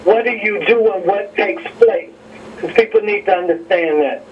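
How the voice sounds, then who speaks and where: A man with a high voice calls in to a radio show with formal, direct questions. The sound is dull and slightly distant. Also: moderate pace, slight background noise. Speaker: caller to a radio talk show. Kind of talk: call-in question. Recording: dull, distant call-in line.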